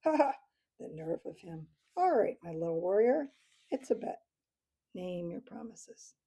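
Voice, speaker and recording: A woman's voice: medium pitch, very animated, measured pace, gravelly, warm tone, slightly rough, full character voice. A woman voicing a boastful male owl captain who mocks and cackles, in a storybook reading. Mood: laughing. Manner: mocking, laughs raucously.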